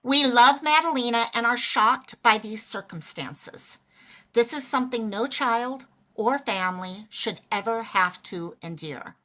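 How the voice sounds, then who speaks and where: Woman doing a chatty livestream, bright voice, bit hoarse, says hi to viewers. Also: a little high, measured pace. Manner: chatty, greets viewers by name. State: losing her voice. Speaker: livestream host. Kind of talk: casual livestream chat.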